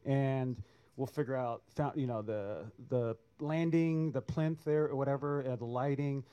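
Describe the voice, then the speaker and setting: A man on an artists' panel, speaking haltingly with many restarts. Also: medium pitch, warm tone, smooth, muffled recording. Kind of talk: panel discussion answer.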